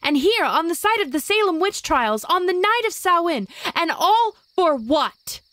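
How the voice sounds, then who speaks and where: A woman's voice: very high voice, big pitch swings, unhurried, a bit bright, no room sound, heavily acted. A woman playing a defiant, righteous witch, speaking firmly and dramatically in an audio drama. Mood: indignant. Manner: firm, dramatic, declamatory.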